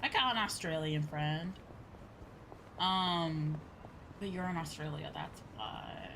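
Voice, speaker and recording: A woman's voice: low-pitched, takes it slowly, vocal fry, fairly bright tone, a little hiss or hum, low-quality recording. A woman livestreamer chatting casually to her viewers in a rambling, repetitive way. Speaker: VTuber streamer. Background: background music.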